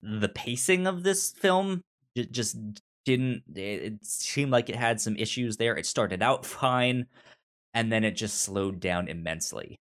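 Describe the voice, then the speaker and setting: A man with a medium-pitched voice chats casually as co-host of a film discussion. He speaks slowly and haltingly, often repeating words as he thinks out loud. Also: lively intonation. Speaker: movie podcast co-host.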